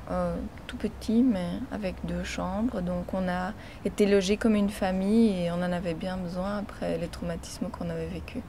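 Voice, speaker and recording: A woman in her forties with a Belgian French accent, giving personal testimony in an interview in a measured, hesitant way with pauses. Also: medium pitch, darkish tone, a little hiss or hum. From born in Chile, grew up in Belgium from age four. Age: 40s. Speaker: interviewee telling her family's migration story.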